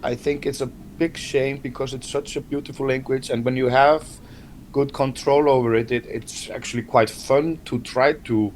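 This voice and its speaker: Icelandic man as interview guest, gentle and hesitant, keeps restarting words, mid-pitched voice, faint noise behind. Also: steady tone, normal speed. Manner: hesitant, repeats words often, soft-spoken. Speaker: band member, interview guest. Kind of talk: casual interview talk.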